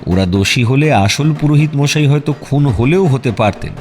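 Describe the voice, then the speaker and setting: A man playing a composed, detached private detective who talks in a measured way in an audio drama. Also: medium pitch, lively intonation, close to an everyday voice. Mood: reasoning. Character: calm, methodical male private detective. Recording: faint music behind the voice.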